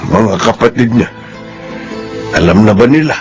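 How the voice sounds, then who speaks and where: An actor playing a sympathetic father in a radio drama, asking gently and with concern. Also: on the low side, animated, normal speed, music in the background, natural voice. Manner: gentle, inquiring. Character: concerned, sympathetic father, middle-aged or older.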